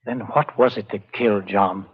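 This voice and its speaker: A man's voice: lowish voice, steady tone, normal speed, husky. A man acting an earnest, skeptical grieving brother in a 1940s radio thriller, with clipped dramatic delivery. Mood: skeptical, disturbed. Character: earnest, skeptical bereaved brother of a curse victim. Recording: muffled vintage radio drama recording.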